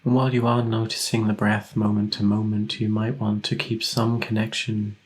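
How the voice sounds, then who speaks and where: Man leading guided meditation, very even tone. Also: lowish voice. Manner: gentle, unhurried instruction with long pauses. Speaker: meditation teacher.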